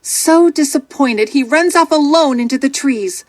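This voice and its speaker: A woman narrating a children's storybook from a script in an expressive, dramatic storytelling manner. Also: high voice, unhurried, no echo.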